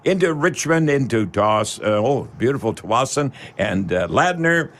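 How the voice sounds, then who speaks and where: A man's voice: mid-range voice, big pitch swings, normal speed, a bit bright. A man hosting a live call-in radio show in a folksy, rambling way with hesitant phrasing. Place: radio studio.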